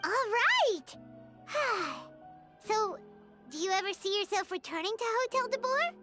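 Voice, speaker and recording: A woman voicing a tiny, childlike floating companion in an animated game, bubbly, nosy, cutesy and exclamatory. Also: very high-pitched, measured pace, loud music behind the voice. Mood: curious. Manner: cutesy, exclamatory, speaks of self in third person.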